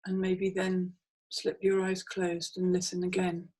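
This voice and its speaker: A woman guiding a meditation in short, hypnotic phrases with long pauses, speaking as a narrator to a listener. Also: on the low side, monotone, a little mellow, rough recording. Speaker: meditation guide. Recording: clearly audible background noise, ambient soundscape. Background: ambient soundscape.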